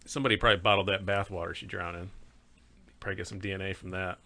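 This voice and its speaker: A man's voice: low voice, fairly even intonation, rapid-fire, gravelly, sharp tone. A man co-hosting a casual chat, chiming in and laughing along.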